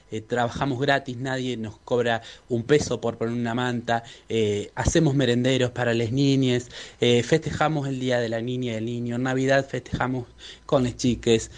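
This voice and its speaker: A man with an Argentine Rioplatense accent speaking as a street vendors' spokesperson, giving an earnest activist statement in formal wording. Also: mid-range voice.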